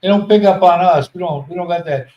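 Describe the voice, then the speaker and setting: A man's voice: high-pitched, echoey room, lo-fi sound. A man in casual podcast banter who rambles and jokes, often restarting his sentences. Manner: rambling, joking, halting restarts.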